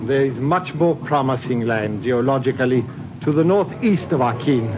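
An actor playing a polite, formal, two-faced villain with precise speech in a 1950s radio drama. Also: male voice, medium pitch, animated, normal speed, muffled recording, natural voice. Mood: polite, firm. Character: smooth, formal, duplicitous Indonesian male oil geologist villain. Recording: old radio broadcast with hiss.

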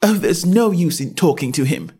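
A man's voice: a little high, expressive, moderate pace, warm tone, breathy edge, pitched above the performer's own voice. A man voicing a polite, curious young girl who grows exasperated. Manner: polite, earnest, getting exasperated.

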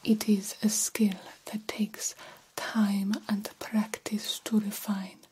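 A woman voicing a formal, grandiose vampire doctor in a breathy ASMR whisper, slowly and theatrically mentoring a fledgling. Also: medium pitch, little pitch movement, noisy background, lightly acted. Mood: coaxing, instructive. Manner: slow, deliberate, formal and theatrical. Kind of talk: ASMR roleplay.

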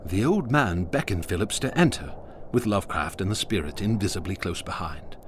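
A man narrating a radio drama in a measured, ominous storytelling manner. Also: low-pitched, animated, a little hiss or hum.

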